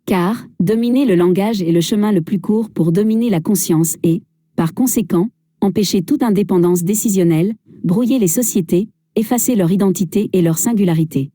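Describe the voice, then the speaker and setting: A woman's voice: mid-range voice, normal speed, warm tone, dry recording, clean studio sound. A woman giving a formal, solemn reading of a translated speech.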